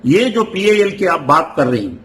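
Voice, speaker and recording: A man with a South Indian Deccani Urdu accent explaining himself in a news interview, halting and repeating his words as he insists on his point. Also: on the high side, big pitch swings, fairly bright tone. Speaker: Wakf board chief executive, interviewee. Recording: noisy interview recording with ambient sound.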